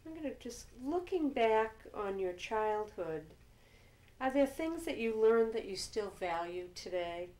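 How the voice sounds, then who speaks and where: A woman's voice: a little high, expressive, unhurried, darkish tone. A woman asking informal questions as an oral history interviewer.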